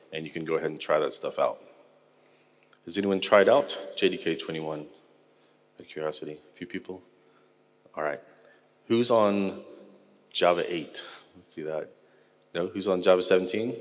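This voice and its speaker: A middle-aged American man giving a technical conference talk in a relaxed, conversational way with frequent ums. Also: low voice, in a large hall. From United States. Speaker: conference speaker. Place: large conference hall.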